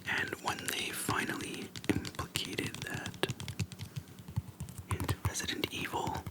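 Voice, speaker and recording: Soft whispered ASMR, casual and slangy. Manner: soft whispering, unhurried with pauses; informal slangy speech. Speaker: ASMR video creator. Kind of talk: whispered ASMR, casual nostalgic game chat. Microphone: close microphone for ASMR. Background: soft tapping and clicking.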